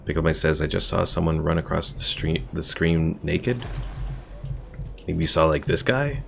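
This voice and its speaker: A man streaming a strategy game, chatting casually, thinking out loud and reading chat messages. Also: extremely low pitch, moderate pace, vocal fry, music in the background. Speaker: gaming streamer. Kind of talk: casual gameplay commentary.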